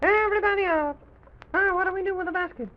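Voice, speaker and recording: A man voicing a small, excitable, curious childlike character in an old children's radio serial, exclaiming and repeating himself in wonder. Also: very high voice, quick pace. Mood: eager, bustling. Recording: dull vintage radio recording with music.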